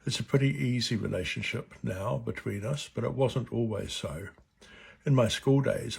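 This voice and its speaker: A man in his seventies from New Zealand with a New Zealand accent who stutters at times, gently reading a personal reflection. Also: mid-range voice, gravelly, slightly rough. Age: 70s. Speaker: board chair of a stuttering charity. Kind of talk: personal reflection read aloud.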